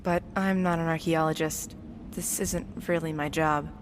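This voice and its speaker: A woman playing a practical, level-headed young explorer in an audio drama, matter-of-fact and measured, in a reverberant space. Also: deep voice, fairly even intonation. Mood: explaining. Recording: long cave-like reverb, faint noise.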